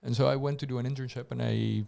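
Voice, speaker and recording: A man's voice: mid-range voice, fairly even intonation, quick pace, vocal fry, dark tone. A man from Colombia, a guest engineer, telling his story on a casual radio talk show with many restarts and repeated words.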